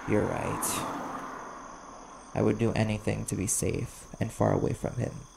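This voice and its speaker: A man voicing a wary, desperate vampire bounty hunter in an audio roleplay, blunt and guarded, with a gravelly voice. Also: on the low side, little pitch movement, measured pace. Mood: defensive, firm. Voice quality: gravelly, creaky. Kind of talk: ASMR audio roleplay acting. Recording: clear background noise, chirping crickets.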